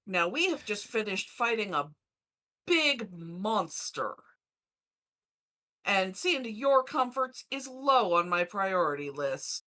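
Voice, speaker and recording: A woman's voice: medium pitch, big pitch swings, slow pace, pushed and bright, heavily acted. A woman playing a stern, no-nonsense military captain, speaking curtly and firmly. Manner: curt, firm.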